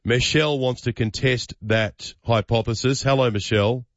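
A man's voice: mid-range voice, fairly even intonation, no room sound, dull audio. Australian man hosting breakfast radio. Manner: brisk, joking banter with callers. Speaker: radio host.

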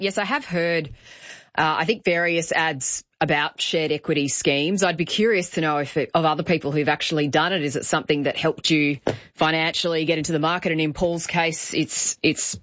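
A woman's voice: low-pitched, fairly bright tone, no room sound, dull audio. A woman hosting a talk radio show, taking calls in a friendly, conversational way. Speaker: radio host. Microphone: studio microphone.

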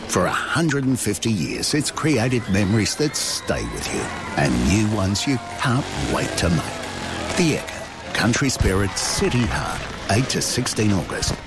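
A man narrating a scripted advert in a warm, evocative way. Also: lowish voice. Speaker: advert voice-over. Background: music bed.

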